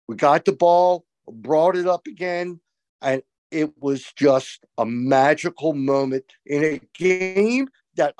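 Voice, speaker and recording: An older man co-hosting a postgame lacrosse show over a video call, talking with emphatic, opinionated excitement. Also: fairly high pitch, no echo. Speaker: co-host, college lacrosse analyst. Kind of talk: excited postgame sports talk. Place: small room indoors. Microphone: video call webcam.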